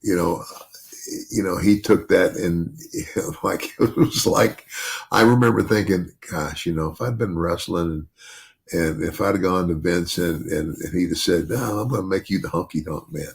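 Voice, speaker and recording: Older male podcast host, deep bass voice with gravel, rambling and restarting mid-thought. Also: normal speed, slight background noise. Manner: rambling with many restarts and "you know". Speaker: podcast host, retired wrestler. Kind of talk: casual podcast chat.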